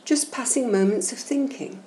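A woman guiding a meditation session in a soothing, gentle, measured and instructional way. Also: a little high. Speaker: guided meditation host. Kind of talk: slow, soothing guided meditation narration. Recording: roomy echo, faint background noise.

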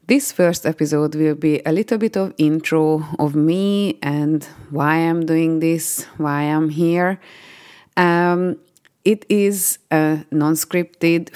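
A woman's voice: sits low, a little mellow, clear, resonant tone. A woman from Hungary with a Hungarian accent, hosting a solo podcast in an upbeat, earnest, motivational way. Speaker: podcast host. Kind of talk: unscripted solo podcast monologue.

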